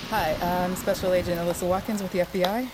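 A woman's voice: lowish voice, flat delivery, fast, very noisy, lightly acted. A woman playing a probing FBI agent. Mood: curious. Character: brisk female FBI special agent, probing. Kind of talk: audio drama acting.

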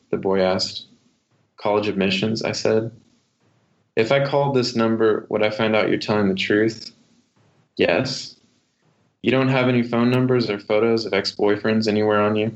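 Deep-voiced man, muffled recording. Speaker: author, podcast guest. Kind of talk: memoir reading and literary interview talk. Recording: muffled, dull lo-fi sound.

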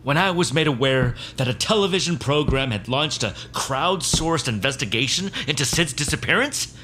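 An actor playing a pompous, theatrical office boss in an audio drama, speaking gravely with dramatic pauses. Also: male voice, medium pitch, expressive, sharp tone, slight background noise. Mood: wounded, commanding.

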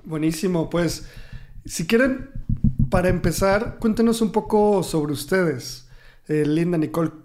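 A man's voice: high-pitched, unhurried, a little mellow. A man co-hosting a podcast, asking guests questions in a friendly, chatty way. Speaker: co-host.

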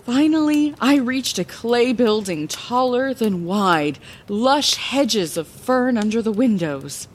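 Leisurely, theatrical old storyteller. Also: female voice, on the high side, slow, hardly any pauses. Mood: storytelling. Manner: expressive, conversational, theatrical. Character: older woman lighthouse keeper reminiscing as bard storyteller, playful, dramatic. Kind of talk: fantasy audio drama narration, bedtime storytelling.

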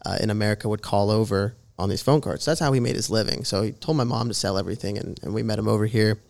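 This is a young man in his twenties, originally from Jordan, talking as a guest on a podcast. His voice sits low and carries a gravelly, creaky edge. He rambles casually, stringing thoughts together with plenty of fillers. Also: quick pace, a little mellow. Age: 20s. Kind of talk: casual interview chat.

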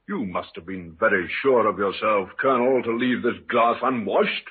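Dry police inspector, tinny old radio. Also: male voice, fairly low pitch, husky. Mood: firm, assured. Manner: measured, dry, authoritative. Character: cool, firm police inspector making an arrest. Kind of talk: 1940s-50s radio acting.

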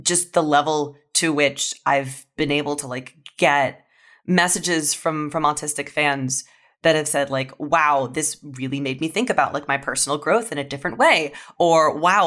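Young female writer as podcast guest; low voice, candid, earnest, casual reflective talk. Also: fairly bright tone.

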